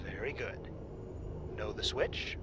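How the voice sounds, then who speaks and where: A performer voicing a calm, patient pilot and mentor in an audio drama, giving clipped, encouraging replies. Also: medium pitch, slow, background noise, natural voice.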